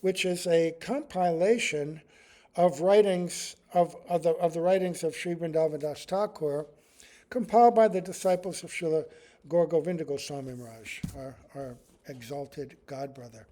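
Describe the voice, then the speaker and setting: Casting: man leading a devotional class, mellow, rambling, fairly high voice. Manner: chants prayers slowly, then talks in a relaxed, rambling way. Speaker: speaker giving a class. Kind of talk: devotional class with chanted prayers.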